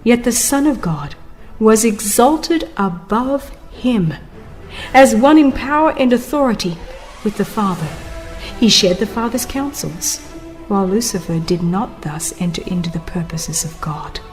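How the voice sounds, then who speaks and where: A woman reading a religious text as a dramatized audiobook, with solemn, measured phrasing and frequent pauses. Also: mid-range voice, expressive, a little mellow. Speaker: narrator. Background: music under the voice.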